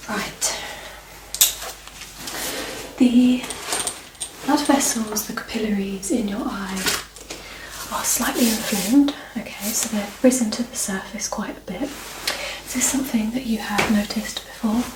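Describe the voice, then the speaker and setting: A woman speaking softly, often dropping into a whisper, gently giving instructions as a doctor in an ASMR medical exam roleplay. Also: mid-range voice, takes it slowly. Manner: soft, partly whispered, gently instructing, reassuring. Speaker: performer playing a doctor giving an eye exam. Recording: roomy, distant mic, faint noise.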